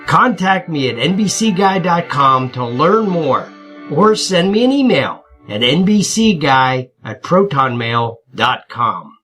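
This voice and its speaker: A man's voice: fairly high pitch, very animated, slow pace, a bit bright, faint music behind. A man delivering a scripted advertisement as a survival instructor. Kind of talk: scripted ad read.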